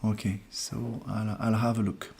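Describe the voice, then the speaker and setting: Slow, hesitant man. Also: fairly low pitch, level tone, creaky, breathy edge. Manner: soft-spoken, hesitant, thinking aloud. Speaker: numerologist host. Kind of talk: casual live-stream reading.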